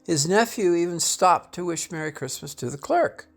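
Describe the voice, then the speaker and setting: A man reading a classic tale aloud as scripted narration, theatrical and deliberate in his delivery. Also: on the high side, animated, gravelly, faint music behind. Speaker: storybook reader.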